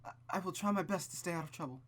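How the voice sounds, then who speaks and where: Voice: mid-range voice, very fast, fairly bright tone, pitched above the performer's own voice, lightly acted. Someone doing a meek little girl from a visual novel, stammery, apologetic, devout.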